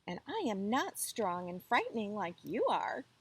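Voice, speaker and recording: A woman voicing a clever, modest little monkey in a children's folktale, eager and expressive. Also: mid-range voice, measured pace, slightly rough, heavily acted, poor audio. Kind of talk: children's folktale read aloud.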